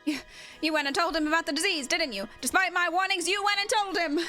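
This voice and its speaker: A woman's voice: very high voice, quick pace, pushed and bright, faint music behind, voice pushed up. A woman voicing a dramatic, indignant male wrestling announcer from a video game, speaking emphatically and formally in an amateur dub. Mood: accusing. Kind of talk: amateur voice acting of game dialogue.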